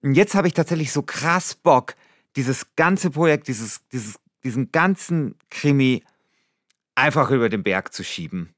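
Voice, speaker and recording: A man's voice: medium pitch, expressive, a little slow, no room sound, studio quality. A man hosting a show, moving between narration and casual conversation. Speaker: podcast host.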